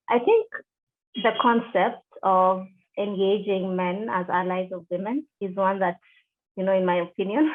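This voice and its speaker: Woman from Kenya on a tinny line. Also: mid-range voice. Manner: measured, with frequent fillers and restarts. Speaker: interviewee, deputy country director. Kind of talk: video call interview. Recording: tinny call audio.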